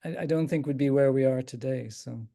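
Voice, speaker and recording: An older man with an Irish accent, a psychiatrist speaking as a guest on a video call, thoughtful and halting with frequent restarts. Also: medium pitch, gravelly. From Ireland. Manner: thoughtful, halting with many restarts and soft laughs. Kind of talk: casual talk on a video call.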